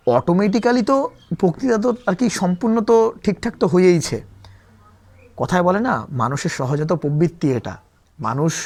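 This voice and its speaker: A male teacher with a fairly high-pitched voice retells a story as a lesson. He explains in spoken, classroom language, often rephrasing what he has just said. He puts rhetorical questions to the listener as he goes. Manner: repeats and rephrases points, asks rhetorical questions.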